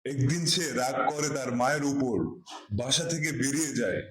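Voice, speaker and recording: A man playing a gentle wandering beggar who tells moral stories to children in a cartoon. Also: mid-range voice, normal speed, crowd noise, lightly acted. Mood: solemn storytelling. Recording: roomy, echoing voice track.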